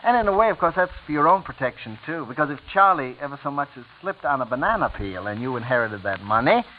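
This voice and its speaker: A man's voice: mid-range voice, expressive, fast, lightly acted. An American man playing a sly, menacing former prosecutor with a smug, insinuating manner in a 1940s radio drama. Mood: sly menace. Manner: smug, insinuating, drawling little 'huh' tags. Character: sly, menacing former prosecutor blackmailing his brother's wife. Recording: tinny, hissy 1940s radio recording.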